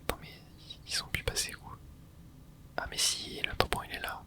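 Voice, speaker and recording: A host whispering casual ASMR commentary over gameplay, reacting excitedly and chatting to viewers. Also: moderate pace. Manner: casual, chatty, self-correcting. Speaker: channel host.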